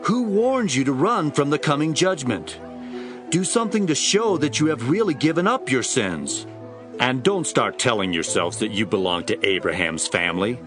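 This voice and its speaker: An actor playing a fiery desert preacher in an audio Bible drama, exhorting forcefully. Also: male voice, medium pitch, sing-song, moderate pace, music in the background, natural voice. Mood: stern, scolding. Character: John the Baptist, fiery male desert preacher.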